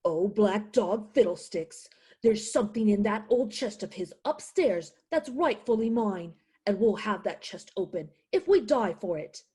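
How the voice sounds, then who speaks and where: Husky, animated, stubborn widow acted by a woman. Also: medium pitch, unhurried. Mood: indignant. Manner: indignant, insistent, dramatic. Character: stubborn, indignant poor widowed mother of a teenage boy. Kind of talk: theatrical radio play acting.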